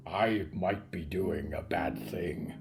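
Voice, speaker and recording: A man's voice: low-pitched, slow pace, soft background music, pitched below the performer's own voice. A man voicing a big, gloomy, childlike creature who speaks in plain, naive sentences in an audio fiction story. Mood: guilty, glum. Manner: plain short sentences, subdued, glum, naive.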